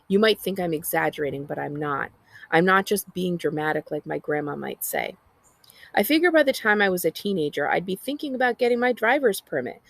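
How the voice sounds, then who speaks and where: A woman's voice: on the low side, vocal fry, no room sound. An American woman voicing a sardonic, exasperated twelve-year-old girl narrating her life in a storybook read-aloud. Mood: dramatic, wry. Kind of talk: expressive audiobook-style reading.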